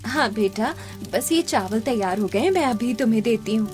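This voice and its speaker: Woman playing a high-voiced, earnest poor mother. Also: expressive, normal speed, darkish tone, soft background music, close to an everyday voice. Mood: tender. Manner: earnest, pleading. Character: poor, hardworking young mother, pleading and devoted. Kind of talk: animated story dialogue.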